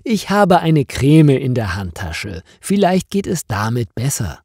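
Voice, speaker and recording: A curious and helpful office woman from a storybook, acted out by a man. The questioning is practical and unhurried, in a mellow voice with lively rises and falls. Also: medium pitch, breathy edge, dry recording, studio quality, voice pushed up, exaggerated voice. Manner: inquisitive, practical. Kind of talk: storybook character dialogue.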